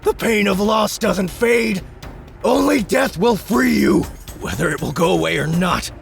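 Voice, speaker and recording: A crazed male knight boss, as a man puts him on for a game-world audiobook. He growls his taunts slowly in a raised, rough, gravelly voice. Music plays underneath. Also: sits high, expressive, voice pushed up, full character voice. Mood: taunting. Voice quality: gravelly, shouting. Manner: menacing, drawn-out taunts. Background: background music.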